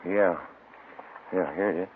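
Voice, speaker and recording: An American actor playing a courteous, steady police detective in a businesslike 1950s radio drama style. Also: male voice, low voice, a little slow. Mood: sober. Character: plain-spoken male police detective partner, courteous and steady. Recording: noisy, muffled old radio recording.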